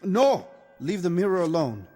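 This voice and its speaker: An actor playing a conscience-stricken rebel officer, weary of killing and bitterly sardonic, in a radio drama. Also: male voice, sits high, sing-song, mellow, long reverb tail, faint music behind. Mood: agitated. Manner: brooding, sardonic, deliberate emphasis.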